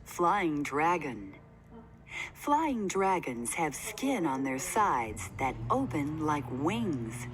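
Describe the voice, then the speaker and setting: A woman reading a children's nonfiction book aloud in a deliberate, simply phrased way for young listeners. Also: sits low, sing-song. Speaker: read-aloud narrator. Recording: faint background noise.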